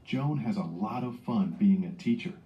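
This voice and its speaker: Male narrator for children's read-aloud, lively varied intonation, muffled lo-fi sound in a roomy space. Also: lowish voice, moderate pace, a little hiss or hum. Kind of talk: scripted children's storybook narration. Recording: dull lo-fi playback in echoey room.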